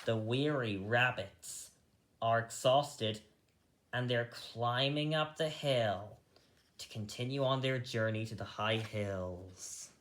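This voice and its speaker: A story reader narrating a storybook in an animated, deliberate way. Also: mid-range voice. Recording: roomy echo.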